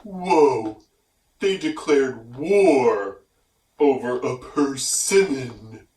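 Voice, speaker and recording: A man's voice: medium pitch, animated, extremely slow, a little mellow, distant mic. A man casually commenting on a story in a slangy, incredulous way. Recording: echoey room, away from the mic.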